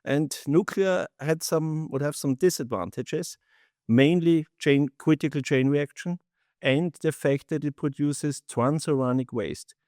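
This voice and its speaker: A middle-aged man with an Austrian accent, a native German speaker, answering as a founder in an interview with halting non-native phrasing. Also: fairly high pitch, a little mellow, no echo, studio quality. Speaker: company founder, interview guest. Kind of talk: interview answers, recounting own career.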